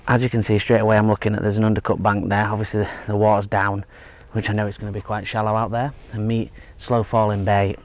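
A man in his thirties giving a relaxed, hesitant fishing tutorial outdoors by a lake, with lots of fillers and restarts. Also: fairly low pitch. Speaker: angling instructor. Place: outdoors at a lakeside fishing spot. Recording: faint outdoor background noise. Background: faint outdoor ambience.

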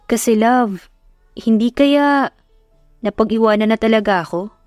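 A woman's voice: a little high, a little slow, a little mellow, no echo, soft background music, natural voice. Young husband, playful and sly, read by a woman narrator, dry close sound. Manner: easygoing, teasing.

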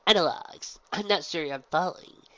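A woman's voice: lowish voice, normal speed, sharp tone, no echo, voice pushed up. A woman voicing a wry, guarded female pony gym leader who is easily worried. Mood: wary. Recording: dull, dry lo-fi recording.

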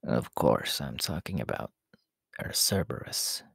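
A man playing a proud, menacing, world-weary demon king in an ASMR roleplay, talking in a deliberate, haughty way that often sinks into breathy whispers. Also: rumbling low, expressive, fairly bright tone, dry recording, studio quality, full character voice. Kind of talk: ASMR audio roleplay acting.

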